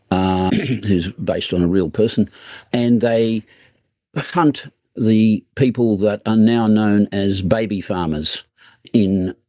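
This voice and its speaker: A man informally summarising a story in an online meeting, in a halting, measured way. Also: on the low side, mellow, no room sound. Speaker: writer pitching a story.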